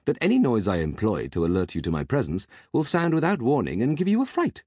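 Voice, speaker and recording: Audiobook robot servant, acted by a man: raised pitch, formal, patient, faintly chirping, loyal to a fault. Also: huge pitch swings, moderate pace, a little mellow, breathy edge, no room sound, clean studio sound, full character voice. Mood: patient reasoning. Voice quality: metallic chirping tone. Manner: polite, deferential, unflappably patient. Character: faithful, patient, formal male robotic butler.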